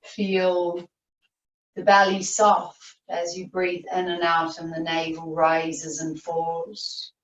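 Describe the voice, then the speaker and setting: A woman yoga teacher leading a guided meditation, giving soothing, unhurried instructions with long pauses. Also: fairly low pitch, completely flat delivery. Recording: roomy, distant, muffled video-call audio.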